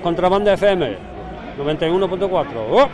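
A man's voice: high-pitched, animated, fast. A man reporting live for radio from a busy city square, doing street interviews. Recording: busy protest crowd outdoors. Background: crowd of protesters.

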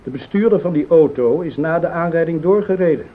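An actor playing a sceptical, formal police officer in a radio play, measured and insistent, with a Netherlands Dutch accent. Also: male voice, fairly high pitch, lightly acted. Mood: grave, firm. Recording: muffled, noisy old radio recording.

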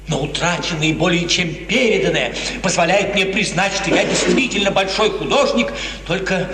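An actor playing a proud, eloquent court painter with a deferential, florid manner in 1950s theatrical radio drama style. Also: male voice, high voice, fairly bright tone. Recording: echoey hall, distant mic, audience noise.

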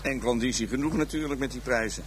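A man's voice: mid-range voice, fast, noisy background, natural voice. An actor playing a talkative Amsterdam police detective abroad in a radio drama, a man with a blunt, colloquial, wry manner. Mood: amazed.

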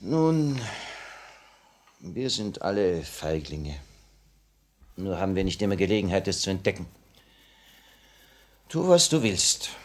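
An actor playing the grave, resolute leader of a revolutionary cell in a 1950 radio play, speaking with measured authority. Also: male voice, low voice, very animated, exaggerated voice. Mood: somber. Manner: measured, authoritative theatrical delivery.

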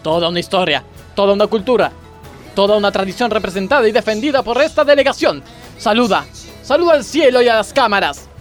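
A man's voice: sits high, animated, quick pace, soft background music. A man acting a pompous, moralizing gymnastics coach who makes grandiose speeches in a parody radio play. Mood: solemn pride. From Argentina. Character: pompous, moralizing male gymnastics coach, scolding yet easily distracted.

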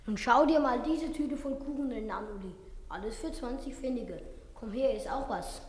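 A woman's voice: high-pitched, normal speed, lightly acted. A woman acting a cocky, casual, always hungry schoolboy in a radio play. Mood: cheerful, cocky. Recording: echoey hall, faint noise.

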